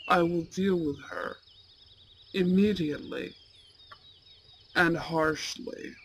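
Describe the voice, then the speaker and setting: A woman voicing a proud, imperious young male student in a roleplay audio drama, with haughty, formal and deliberate diction. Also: fairly low pitch, a little hiss or hum. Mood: protective, stern. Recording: steady outdoor bird and insect ambience. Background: birdsong and insects.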